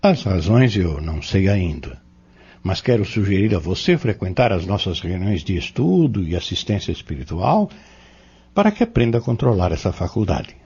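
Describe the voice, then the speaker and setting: Male actor as earnest spiritual teacher, lively intonation, measured explanations, audio drama, dull muffled recording. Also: deep voice, breathy edge, exaggerated voice. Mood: patient, instructive. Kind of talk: audio drama dialogue, didactic.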